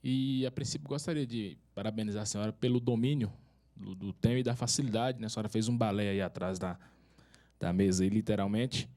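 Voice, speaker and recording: A man's voice: on the low side, fairly even intonation, a little fast, mellow. Formal man asking a question. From northern Minas Gerais, Brazil. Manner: formal, hesitant, many fillers. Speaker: city councillor asking a question. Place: conference hall.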